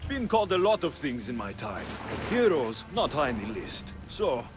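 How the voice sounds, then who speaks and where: An actor playing a defiant, sardonic mercenary hero in a video game drama. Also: male voice, mid-range voice, sing-song, moderate pace, music in the background, natural voice.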